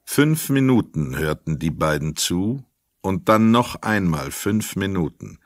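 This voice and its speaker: A male narrator with a deep voice reads scripted literary prose for a radio crime drama. The sound is dry, with no room around it. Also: big pitch swings, unhurried, no echo. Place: dry studio.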